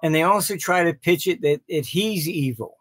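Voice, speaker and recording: An older man giving informal expert commentary as an interview guest on a video call, halting yet emphatic and dismissive. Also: fairly high pitch, a bit bright, dry recording, studio quality. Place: home office, indoors.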